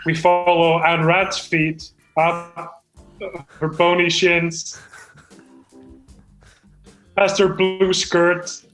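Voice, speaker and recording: Man playing a high-voiced earnest amateur screenwriter. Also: completely flat delivery, a bit bright, roomy sound, faint music behind, exaggerated voice, low-quality recording. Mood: reading aloud. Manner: halting, rambling, self-justifying. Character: earnest, defensive amateur screenwriter presenting his own script. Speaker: performer on a comedy podcast. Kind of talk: improvised comedy table read.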